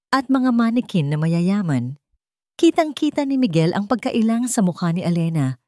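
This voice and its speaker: Woman storyteller, low voice, dry close sound, very dramatic delivery. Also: no room sound. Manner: dramatic, expressive reading. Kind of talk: scripted romance narration.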